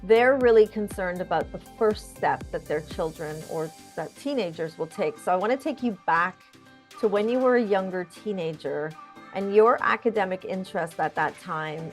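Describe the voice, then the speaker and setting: A woman's voice: lowish voice, lively intonation, moderate pace, soft background music. A woman hosting an interview show, introducing her guest and putting questions in a structured, conversational way.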